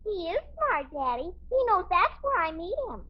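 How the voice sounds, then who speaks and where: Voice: extremely high pitch, very animated, moderate pace. A performer playing an innocent, whiny young American daughter in a 1950s radio comedy. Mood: earnest, pleading. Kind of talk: 1950s radio sitcom acting. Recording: muffled 1950s radio recording.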